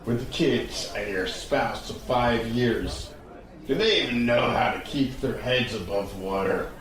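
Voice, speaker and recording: Man playing cynical, war-weary soldier, slow and low, sardonic and blunt, audio drama pub scene with crowd chatter. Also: lightly acted. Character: world-weary cynical male soldier back from border duty. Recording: distant, very echoey, crowd noise behind.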